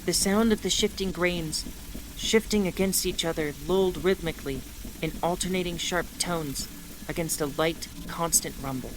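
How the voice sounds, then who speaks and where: A woman narrates as a starship captain in a low, measured voice. She tells the story in a slow, inward, reflective way. A steady hiss sits under the recording. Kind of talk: audio drama narration. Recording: noisy audio drama recording.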